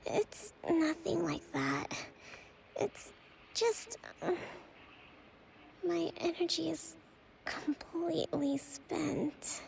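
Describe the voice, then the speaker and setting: A woman voicing a gentle, dutiful young priestess leader in a video game, exhausted and speaking in soft, sighing half-whispers. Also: very high-pitched, drawn-out words, gravelly, pauses often, a little hiss or hum, full character voice, rough recording. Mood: weary. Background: game music.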